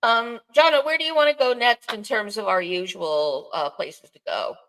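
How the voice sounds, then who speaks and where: A woman's voice: mid-range voice, normal speed, fairly bright tone, poor audio. An older woman with a Bronx accent, co-hosting a sports podcast in casual, unscripted chat with wry, self-mocking asides. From the Bronx, New York, United States.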